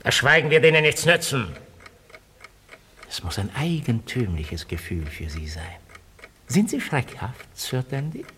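An actor playing a mockingly courteous, sadistic gangster boss in a 1950s German radio thriller parody. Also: male voice, mid-range voice, very animated, bright, forceful tone. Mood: menacing. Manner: silky mock politeness, drawn-out menace. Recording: reverberant old radio studio, faint hiss.

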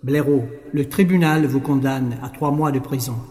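Pompous judge in a booming echoey hall, male actor. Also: fairly even intonation, moderate pace, a little mellow, close to an everyday voice. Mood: stern. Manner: wordy, formal. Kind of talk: French audio drama acting. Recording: very echoey hall, long reverb.

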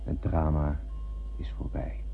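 An actor playing a haunted lone survivor of a space voyage, narrating solemnly and poetically in a radio drama. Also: male voice, extremely low pitch, completely flat delivery, slow. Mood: mournful, resigned. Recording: noisy, thin, phone-like old radio recording.

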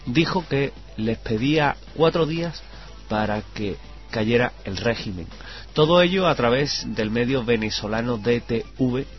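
Male news reader over music, muffled sound. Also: medium pitch. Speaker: news reader on a radio show. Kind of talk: scripted news narration. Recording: dull radio sound with music. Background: background music.

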